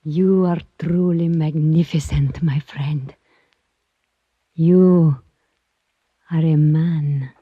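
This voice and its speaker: An actress playing a mysterious foreign woman in an old radio adventure, bold and flattering, speaking in formal, exotic phrases. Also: sits low, extremely slow, pauses often, breathy edge, dry recording, heavily acted. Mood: admiring, seductive. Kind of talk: 1940s-50s radio adventure acting. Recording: muffled old-time radio recording.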